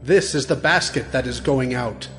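A man's voice: medium pitch, normal speed, fairly bright tone, natural voice. A man playing a solemn, declaiming angel messenger in a dramatized audio Bible. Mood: solemn, declaring. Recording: long reverb, music behind.

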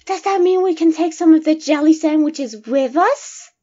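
A woman voicing an excitable, cheerful little monster kid in an audio drama, eager and childlike. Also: sits high, moderate pace, close to an everyday voice. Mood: eager, excited. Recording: dull, slightly lo-fi.

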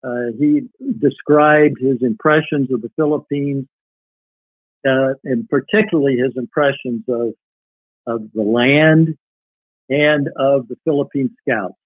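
A man's voice: medium pitch, fairly even intonation, measured pace, slightly rough, dry recording. Older man on a phone-like line. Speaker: podcast guest reading a relative's wartime letters. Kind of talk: interview talk and reading old letters aloud. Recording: tinny, phone-like call audio.

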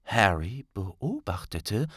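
A man reading a scripted story as a dramatic audiobook narrator, deliberate and suspenseful. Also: on the low side, very animated, a bit bright, husky, no room sound, studio quality.

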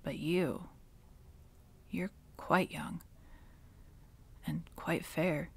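Woman acting a low, gravelly, sardonic witch. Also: fairly even intonation, full character voice. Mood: wistful. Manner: slow, sardonic, dropping often into breathy whispers. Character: dead centuries-old villainess witch, wry, bitter, reluctantly tender. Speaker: audio roleplay voice actor. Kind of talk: ASMR dramatic roleplay monologue.

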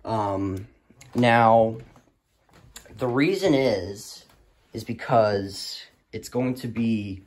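A man's voice: lowish voice, extremely slow, pauses often. A young male student giving a casual, rambling hobbyist review that often trails off, recorded indoors on a handheld phone. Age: teenager or young adult student. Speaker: weather radio hobbyist reviewer. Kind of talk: casual off-the-cuff product review. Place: small room indoors. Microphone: handheld phone camera.

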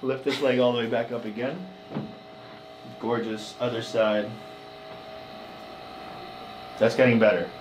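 A man's voice: medium pitch, fairly even intonation, normal speed. Reassuring male chiropractor, noisy room. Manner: reassuring, checking in with short instructions. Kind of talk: casual hands-on talk with a patient. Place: small indoor treatment room.

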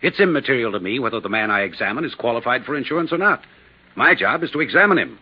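An actor playing an irritated, defensive physician in a 1950s radio drama, speaking in a testy, emphatic way. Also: male voice, medium pitch, animated, quick pace, husky. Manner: testy, emphatic, put-upon. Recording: noisy, muffled old radio recording.

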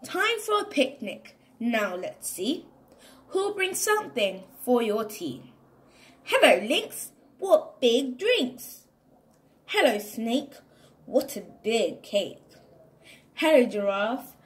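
A woman reading a children's picture book aloud in an expressive, deliberate, sing-song way. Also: fairly high pitch. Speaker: storybook reader for children.

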